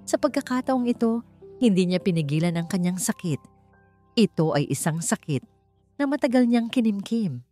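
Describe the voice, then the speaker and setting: A woman storyteller reading dramatic scripted narration with solemn, deliberate phrasing. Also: medium pitch, lively intonation, mellow, no room sound, faint music behind.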